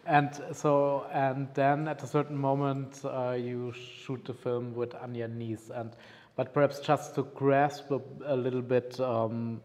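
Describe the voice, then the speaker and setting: A man's voice: medium pitch, level tone, a little slow, darkish tone, in a large hall. A man interviewing a filmmaker, asking hesitant questions that he rephrases as he goes.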